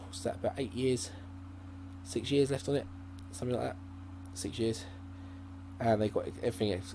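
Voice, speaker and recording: A man hosting a gaming channel, giving casual, rambling commentary at his laptop with lots of fillers and restarts. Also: fairly low pitch. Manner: rambling, thinking aloud with many fillers and restarts. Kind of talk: casual let's-play commentary. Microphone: desk mic at a laptop. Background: faint hum from a laptop.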